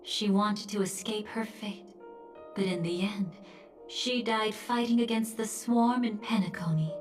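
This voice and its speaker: A woman voicing a cool, perceptive confidante in a video game, speaking in a measured, detached and philosophical manner. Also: mid-range voice, fairly even intonation, natural voice. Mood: calm, thoughtful. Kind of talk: dramatic video game voice acting. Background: music.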